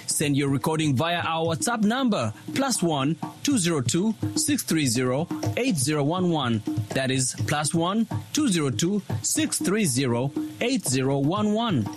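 A man with a high, lively voice reads a radio promo clearly and deliberately. Music plays under his words throughout. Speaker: promo announcer. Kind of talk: scripted announcement. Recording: over background music.

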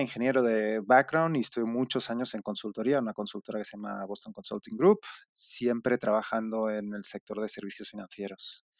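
A man from Spain in his forties talks at a middle pitch, his Spanish accent shaded with Mexican touches. He answers in a loose, conversational way, often pausing on fillers and restarting his phrases. The sound is dry and close. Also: no room sound. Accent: Spanish with some Mexican influence. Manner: relaxed, many fillers and restarts. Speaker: startup founder guest.

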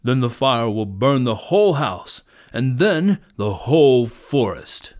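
A man voicing a mighty lion, king of the forest, with a deep, powerful, booming voice and solemn authority. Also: very animated, a little mellow. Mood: grave. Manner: solemn, slow, authoritative proclamations.

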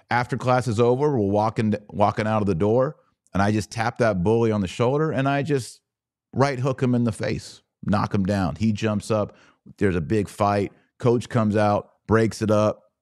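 A middle-aged man with an American accent giving an informal podcast monologue, telling personal stories emphatically with dramatic pauses on key words. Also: on the low side, darkish tone. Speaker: podcast host.

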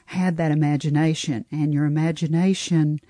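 A woman hosting an interview with a notably deep, mellow voice. She talks in an engaged, affirming way as she guides the conversation. The sound is dry and close. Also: fairly even intonation, moderate pace, no room sound. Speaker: podcast interviewer host. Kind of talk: interview chat.

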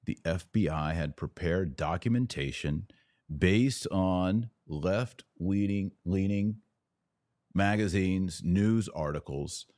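American man, podcast host, very low pitch, dry close recording, religious and political commentary, emphatic. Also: takes it slowly, darkish tone, no echo.

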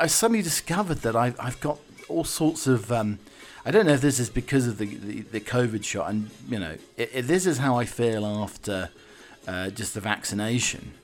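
A man hosting a podcast in a casual, wry monologue, self-deprecating and mock-dramatic, often stumbling and repeating his words. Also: fairly low pitch. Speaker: podcast host.

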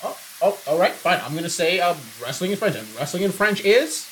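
A young man improvising in character as a tabletop game player, excitable, his voice jumping high when worked up. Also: a little fast, a little hiss or hum, low-quality recording.

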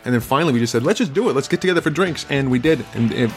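A man's voice: mid-range voice, lively intonation, racing, faint music behind. A man hosting a podcast, talking in a loose, rambling way with many restarts, then interviewing a guest. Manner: rambling, friendly, full of restarts. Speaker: podcast host.

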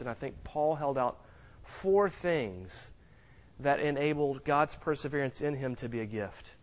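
A man preaching a sermon as a visiting minister in a church, speaking softly with many fillers and pauses, with no microphone. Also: fairly high pitch, highs cut off. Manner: soft-spoken, halting with frequent fillers. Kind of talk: sermon with scripture reading. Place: church sanctuary. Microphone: no microphone, distant.

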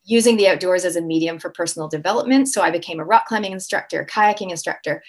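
A woman's voice: lowish voice, fast talker. A middle-aged woman from Canada with a Canadian accent, a guest talking casually in an interview, upbeat and full of fillers and restarts. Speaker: guest, impact coach.

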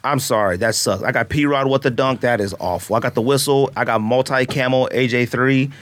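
A man's voice: medium pitch, brisk, no echo. A man co-hosting a podcast in casual chat, handing out short dismissive verdicts. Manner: dismissive, curt one-word verdicts. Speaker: podcast co-host.

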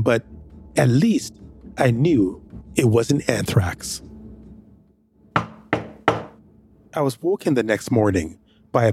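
An actor narrating as a nervous man hiding his sick brother, hesitant and anxious in his dialogue. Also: mid-range voice. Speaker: first-person narrator. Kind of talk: audio drama narration.

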